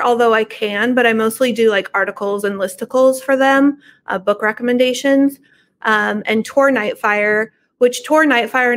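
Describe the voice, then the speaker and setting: A woman's voice: on the high side, a bit bright. Middle-aged woman in casual interview chat. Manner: informal, conversational. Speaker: podcast guest, book reviewer and horror entrepreneur. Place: living room at home, on a video call.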